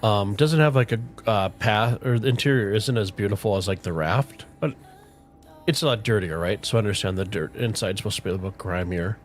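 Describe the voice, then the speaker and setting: A middle-aged man chatting casually with viewers on a game livestream, relaxed and rambling, with a soothing voice. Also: on the low side, fairly quick. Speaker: streamer. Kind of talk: casual livestream chat while gaming. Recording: faint music playing underneath.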